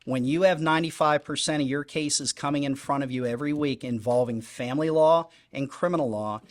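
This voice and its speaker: A man's voice: on the high side, fairly quick. A man in his fifties running for judge, giving an earnest, emphatic statement at a public candidate forum and often repeating his phrasing. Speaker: judicial candidate.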